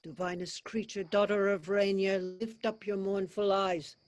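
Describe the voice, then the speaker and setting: A woman's voice: mid-range voice, flat delivery, measured pace, dry recording, natural voice. A woman playing a loyal noble adviser, formal and courtly, in classical stage verse. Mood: courtly, concerned. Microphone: video call.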